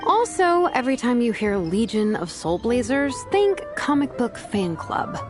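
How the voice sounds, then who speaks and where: A woman's voice: a little high, sing-song, unhurried, over music. A woman playing an easygoing young game character who explains things in a casual, teasing way. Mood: wry. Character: wry, easygoing young woman, club's exasperated voice of reason. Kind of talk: video game character dialogue.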